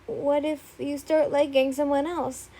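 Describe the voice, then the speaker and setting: A woman's voice: high-pitched, little pitch movement, measured pace, warm tone. A woman voicing an obsessive, tearful young man who pleads in an emotional way. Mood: pleading.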